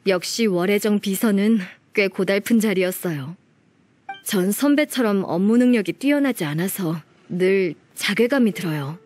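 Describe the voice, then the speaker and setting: A woman's voice: mid-range voice, lively intonation, normal speed, a little mellow, close to an everyday voice. A woman acting an earnest, grateful young secretary. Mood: heartfelt. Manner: polite, heartfelt, hesitant. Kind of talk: video game voice acting.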